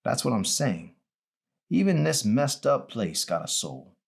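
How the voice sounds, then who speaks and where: A man performing a raspy old man on his deathbed in an audiobook. He talks in a folksy, colloquial way, bitter at the world yet tender, handing down hard-won advice. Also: medium pitch, very animated, measured pace, voice pushed up, full character voice. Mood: earnest. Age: older. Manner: colloquial, folksy, wistful preaching.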